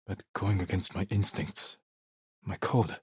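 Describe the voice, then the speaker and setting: An actor playing a grave, honor-bound young samurai lord in a video game, speaking in a measured, restrained way with short, solemn sentences. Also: male voice, sits low, steady tone, breathy edge, no echo, studio quality, exaggerated voice. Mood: somber.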